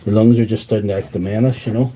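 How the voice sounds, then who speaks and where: A man's voice: on the low side, fairly even intonation, fast, noisy background. A man with a wheezy voice, chatting loosely to livestream viewers while he repairs tools in his workshop. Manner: rambling, chatty, talks to viewers while working. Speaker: tool repair livestream host. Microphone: mic on a stand close by.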